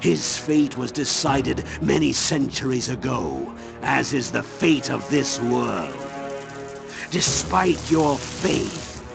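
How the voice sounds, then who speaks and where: Casting: menacing game villain, deep fry and gravel, theatrical, unhurried, widely varied pitch. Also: male voice, sing-song, heavily acted. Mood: scornful, ominous. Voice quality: very gravelly, creaky. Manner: ominous, slow, theatrical. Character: menacing, scornful villain speaking of doom. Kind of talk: video game villain acting. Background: music.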